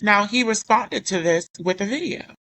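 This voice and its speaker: Bright-voiced woman recovering her voice. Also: mid-range voice, normal speed, low-quality recording. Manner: indignant, rambling with self-corrections. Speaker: YouTuber. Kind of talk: commentary vlog reading comments aloud.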